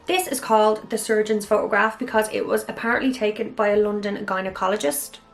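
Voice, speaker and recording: A woman hosting her own channel, chatting her way through a mystery topic, with a slightly hoarse, raspy voice and an enthusiastic manner. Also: mid-range voice, fairly bright tone. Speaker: YouTube channel host. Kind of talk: casual monologue on a mystery topic.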